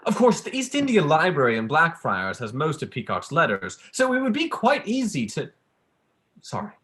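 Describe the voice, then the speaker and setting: A young performer playing a pedantic male academic in a staged play reading, halting, smugly polite and prone to interrupt himself. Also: mid-range voice, huge pitch swings, a bit bright. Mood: pedantic, apologetic. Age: young adult. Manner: halting, donnish, breaking off with apologies.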